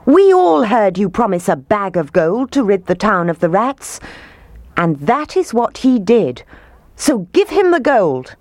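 Woman voicing angry townsfolk, raised and loud. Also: mid-range voice, big pitch swings, unhurried, dry recording, pitched above the performer's own voice. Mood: scolding, demanding. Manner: loud, scolding, demanding. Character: crowd of angry, scolding townspeople. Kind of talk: children's storybook character voice.

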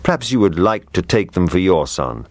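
A man doing a polite, kindly gentleman. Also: low voice, very animated, moderate pace, no room sound. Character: kindly man giving away his son's toys. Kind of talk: storybook reading.

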